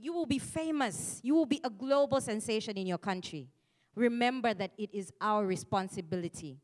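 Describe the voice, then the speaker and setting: A young woman from Zambia giving a rousing speech to a gathering, full of rhetorical questions and building to loud climaxes. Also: on the high side. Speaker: speaker addressing a fellowship gathering.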